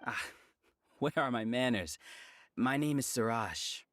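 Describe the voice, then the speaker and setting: A man playing a courteous, quietly sinister schemer in a video game, genteel and persuasive in his delivery. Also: medium pitch, expressive, unhurried, no echo. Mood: coaxing. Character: smooth, courteous male schemer luring recruits, quietly sinister. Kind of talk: dramatic game voice acting.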